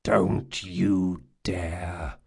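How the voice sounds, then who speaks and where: A male narrator voicing a gruff, hot-tempered big man who scolds his friend in a growling voice, in an audiobook story. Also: mid-range voice, expressive, full character voice. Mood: growling anger. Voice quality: gravelly, growling. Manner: forceful, scolding, drawn-out emphasis.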